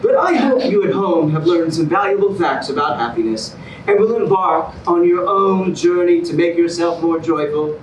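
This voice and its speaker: A man playing an excitable, self-satisfied TV contest host with grandiose, emphatic announcing. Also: a little high, moderate pace, close to an everyday voice. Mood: grand, teasing. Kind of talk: theatrical game show presenting in a staged reading. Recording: distant echoey stage recording, clear noise.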